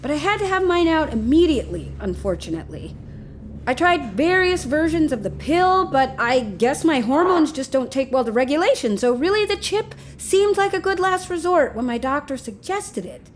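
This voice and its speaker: A woman's voice: high voice, sing-song, normal speed, a little hiss or hum. A woman playing a flippant, unrepentant lover in an audio drama, with a breezy, casual, needling manner. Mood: defensive, earnest. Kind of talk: naturalistic audio drama acting.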